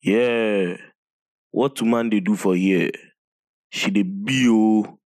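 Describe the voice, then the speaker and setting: A man's voice: on the low side, steady tone, slow, a little mellow, no echo. A man acting an aggressive, leering gang member in an audio play, with a Ghanaian accent. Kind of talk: street-talk dialogue in an audio play.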